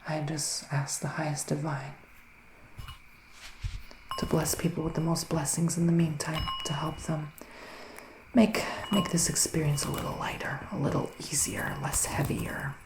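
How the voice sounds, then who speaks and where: Soft, creaky-voiced man, ASMR witch roleplay. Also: a little high, fairly even intonation, unhurried. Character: psychic male witch living in the woods, giving readings. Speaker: ASMR performer playing a psychic reader. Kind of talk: ASMR roleplay, soft-spoken and partly whispered. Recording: roomy echo with faint background noise.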